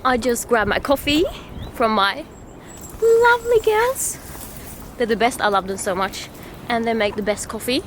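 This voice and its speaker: A woman's voice: a little high, normal speed, long pauses. Bubbly Swedish woman vlogging over music. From Sweden. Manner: bubbly, excitable, laughs often. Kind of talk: casual travel vlog.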